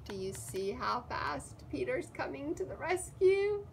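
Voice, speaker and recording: A woman reading a children's picture book aloud in an expressive, deliberate and emphatic storytelling manner. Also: high voice, creaky, slight background noise. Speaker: story time reader.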